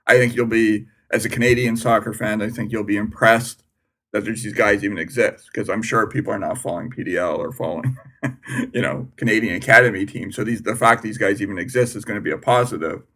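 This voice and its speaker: A man's voice: lowish voice, fairly quick, fairly bright tone. A man on a soccer panel talking conversationally, often tagging points with a question. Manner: conversational, tags with "right?". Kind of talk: casual chat.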